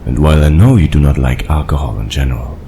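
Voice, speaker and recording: A man playing an old dragon leader in an audio drama, calm and fatherly, with formal, measured speech. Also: very deep voice, slight background noise. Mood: calm, reassuring. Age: older.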